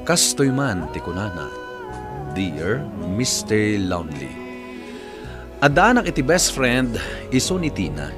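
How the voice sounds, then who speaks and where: An Ilocano man presents a radio drama with scripted narration. His voice is fairly low with animated rises and falls. Music plays clearly behind him. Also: takes it slowly, long pauses.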